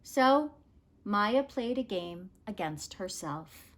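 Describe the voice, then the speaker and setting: A woman narrating a children's picture book as a read-aloud, in an expressive, measured storytelling manner. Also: medium pitch.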